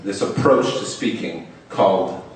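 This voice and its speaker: A man's voice: low voice, a little slow, a little hiss or hum. A young man telling a personal story on stage. He stutters, openly repeating and stretching the first sounds of words. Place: stage in a large hall. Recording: echoey hall, mic far off.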